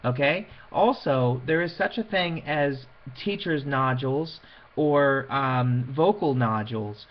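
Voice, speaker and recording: A man's voice: mid-range voice, slow pace, lo-fi sound. A man giving an informal lecture to an audience, speaking in a conversational, emphatic way and acting out little asides. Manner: conversational, emphatic, illustrates points with quoted asides. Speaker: lecturer on PA systems for wedding officiants.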